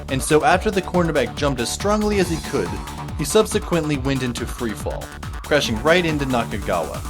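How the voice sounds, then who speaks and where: A man's voice: mid-range voice, close to an everyday voice. A man playing a wry, observant teenage schoolboy who narrates an audio drama in a wordy, descriptive way. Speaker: first-person narrator. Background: music behind the voice.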